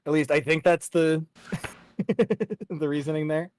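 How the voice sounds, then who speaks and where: A man's voice: fairly high pitch, takes it slowly, a little mellow, slight background noise. A man speaking casually as game master of a tabletop role-playing session.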